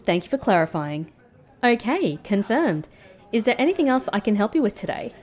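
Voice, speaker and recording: An actress playing a guarded, sardonic former secret agent in an audio drama, polite and measured with a dry wit. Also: female voice, mid-range voice, sing-song, a little mellow, lightly acted. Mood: polite, professional. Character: guarded, sardonic woman, former secret agent turned call-centre worker.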